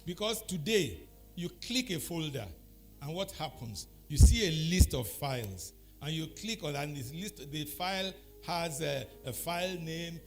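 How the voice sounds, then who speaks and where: Nigerian man explaining deliberately, music behind. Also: fairly high pitch. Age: middle-aged or older. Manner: deliberate, explanatory, pausing between short phrases. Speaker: speaker giving a talk. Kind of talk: anecdotal public talk.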